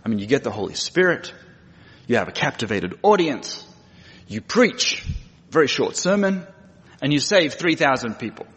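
A man's voice: mid-range voice, big pitch swings, moderate pace, a bit bright. A middle-aged man with an Australian accent, preaching conversationally with dry humour and plain speech. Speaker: guest preacher and missionary. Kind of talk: conversational sermon. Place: large church room. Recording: echoey hall with long reverb.

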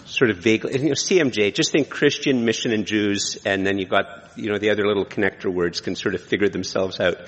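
A man's voice: fairly low pitch, quick pace, few pauses, highs cut off. A man speaking informally as a pastor hosting a church service, with a conversational, self-deprecating manner. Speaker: pastor hosting and introducing a guest preacher. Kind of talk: informal church introduction and prayer. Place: large church room. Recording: echoey hall with long reverb.

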